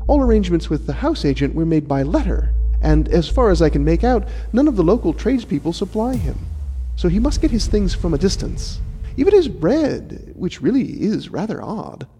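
A man's voice: high-pitched, sing-song, normal speed, dark tone, pitched above the performer's own voice. A man voicing a young lady with a theatrical manner in a story, in a reverberant recording. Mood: playful teasing. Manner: mischievous, bantering, theatrical. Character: teasing, playful young lady, later distraught. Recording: reverberant hall, faint music.

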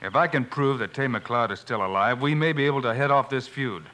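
An actor playing a calm, shrewd heroic marshal with an American accent in an old-time radio Western. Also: male voice, medium pitch, a little fast, close to an everyday voice.

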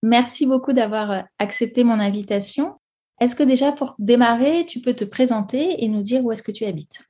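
Woman, podcast host, high-pitched, warm mellow tone, polite. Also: moderate pace, husky. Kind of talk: interview questions.

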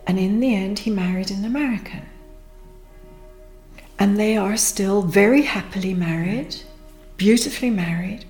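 A woman speaking as a therapist, slowly and reflectively guiding a conversation. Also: mid-range voice, a little mellow, echoey room, faint music behind. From Pakistan.

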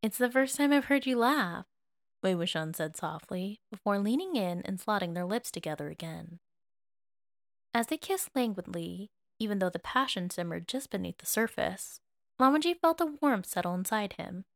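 A woman's voice: medium pitch, expressive, normal speed, creaky, no room sound, studio quality. A woman reading scripted fiction aloud as an audiobook narrator.

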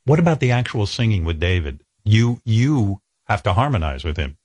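Deep-voiced older male interviewer. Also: very animated, normal speed, darkish tone, husky. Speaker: talk show host. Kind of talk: interview questions.

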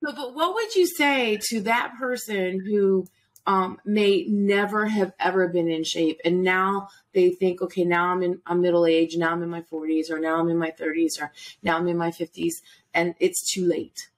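A woman hosting a casual, chatty interview in an informal, animated way, rephrasing as she goes. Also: fairly low pitch, normal speed. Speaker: interviewer, host and instructor.

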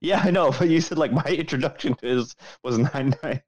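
A man's voice: mid-range voice, brisk, a little mellow, no echo. A young man in casual podcast chat, laid-back and noncommittal, using slangy phrasing.

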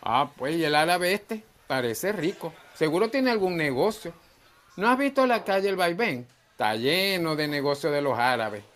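A man acts out a jovial Venezuelan bar owner for a comic radio soap. His voice sits fairly high. He is bossy yet affectionate, full of folksy exclamations. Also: expressive, normal speed, a bit bright. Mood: jovial, advising. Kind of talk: humorous radio soap acting.

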